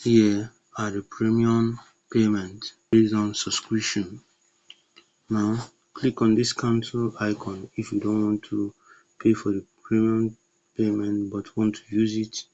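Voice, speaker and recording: Flat low-voiced man giving app steps. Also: takes it slowly, dull audio. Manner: plain, instructional, short commands. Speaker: tutorial narrator. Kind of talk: step-by-step app tutorial narration.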